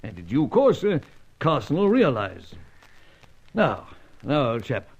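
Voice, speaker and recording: A fussy upper-class gent. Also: male voice, mid-range voice, huge pitch swings, measured pace, vocal fry, breathy edge, full character voice. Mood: soothing. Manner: fussy, blustering, old-chap bluster. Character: Sir Giles, fussy upper-class British chief. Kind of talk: 1950s radio drama acting. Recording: dull lo-fi old radio recording.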